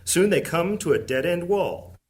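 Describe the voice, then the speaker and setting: Steady male audio drama narrator. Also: fairly high pitch, moderate pace. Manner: steady, descriptive. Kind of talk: scripted narration.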